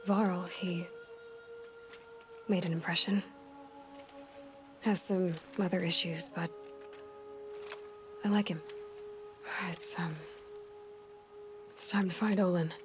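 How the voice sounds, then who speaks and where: An actress playing a grieving, resolute young outcast hunter in a video game, in a soft, breathy voice with halting, intimate phrasing. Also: female voice, on the low side, fairly even intonation. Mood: gentle, awkward. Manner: halting, hushed, speaking in short reflective phrases. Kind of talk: naturalistic video game acting, intimate monologue. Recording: music behind the voice. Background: game music.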